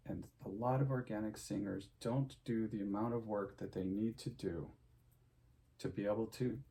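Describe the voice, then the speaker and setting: A middle-aged man with a somewhat low voice and a gravelly, creaky edge talks straight to his audience. He is an opera singer telling stories from his career in a casual, meandering monologue, stopping and restarting his sentences, and he closes with kind advice to his viewers. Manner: chatty, full of fillers and restarts, warm sign-off advice.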